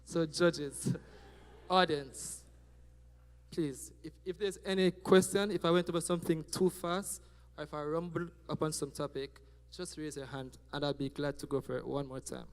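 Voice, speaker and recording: Lively young Jamaican man on stage. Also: fairly high pitch, normal speed, clean tone. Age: young adult. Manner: animated, joking, checks in with the audience. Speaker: student presenter. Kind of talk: class presentation on stage. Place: auditorium stage. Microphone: handheld mic. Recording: hall reverb.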